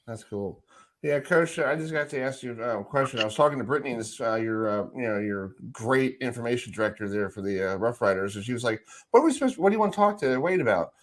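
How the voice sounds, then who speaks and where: A man's voice: medium pitch, expressive, fast. A middle-aged man hosting a sports radio show, asking informal, rambling questions in a casual chat.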